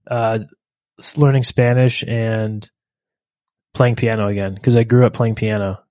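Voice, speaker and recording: A man from the Philadelphia area in casual conversation, easygoing and relaxed, tossing in slang like "dude" and "man.". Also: lowish voice, measured pace, no echo.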